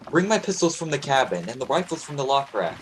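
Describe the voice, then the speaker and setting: A young man playing a steady, commanding sailing captain in an amateur radio play. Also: medium pitch, fast talker, a little hiss or hum, lightly acted, rough recording. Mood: firm resolve.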